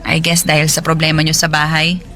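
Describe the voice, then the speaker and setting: An actress with a Filipino accent playing a friendly, sympathetic office worker in a conversational radio drama. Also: female voice, sits low, completely flat delivery, a little fast, fairly bright tone. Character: friendly single office woman, sympathetic listener.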